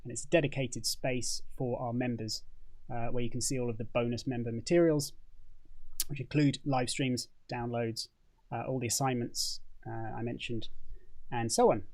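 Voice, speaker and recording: A British man hosting a laid-back livestream, speaking at a middle pitch into a condenser mic. He chats easily and loosely, piling in fillers and restarting his sentences. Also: moderate pace. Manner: relaxed, chatty, with frequent fillers and restarts. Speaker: host. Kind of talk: casual livestream chat.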